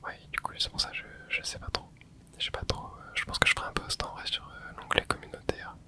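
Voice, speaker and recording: A young adult ASMR host whispering casual, rambling chat while playing a video game, with many hesitant fillers. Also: a little fast. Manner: soft unvoiced whisper throughout. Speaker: ASMR gaming channel host. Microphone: close mic.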